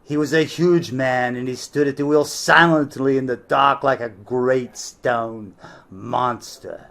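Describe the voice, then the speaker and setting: A man's voice: mid-range voice, takes it slowly, gravelly, fairly bright tone. A man reading a novel aloud as its narrator, in scripted storytelling style.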